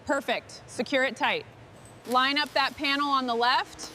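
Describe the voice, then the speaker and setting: Woman crew leader, kinda high voice, calm short orders, faint shop noise behind. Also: a little slow. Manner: calm, clipped instructions. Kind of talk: scripted workshop dialogue.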